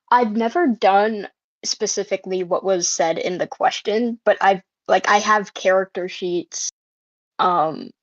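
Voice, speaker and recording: Woman, medium pitch, casual, thinking aloud, dull lo-fi recording, no room echo. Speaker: panelist, writer. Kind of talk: casual chat. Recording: dull, lo-fi voice chat audio.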